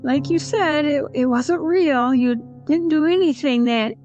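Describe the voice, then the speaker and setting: A woman playing a weak, wounded, gentle queen in an audio drama, faltering and solemn. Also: high voice, a little slow. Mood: weak, forgiving. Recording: slightly dull, music underneath.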